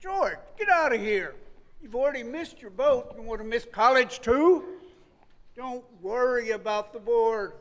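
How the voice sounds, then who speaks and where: An older actor in a live stage radio play, playing a cheerful, folksy uncle. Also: male voice, very high-pitched, expressive, unhurried, a bit bright, in a large hall, heavily acted. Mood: jovial. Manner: folksy and jovial. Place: theater stage. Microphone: vintage stand microphone.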